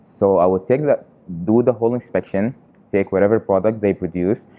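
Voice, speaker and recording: A young man from Qatar, a research trainee, answering interview questions in an earnest, halting way with frequent pauses. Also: lowish voice, phone-quality sound. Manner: earnest, halting, often pausing on fillers.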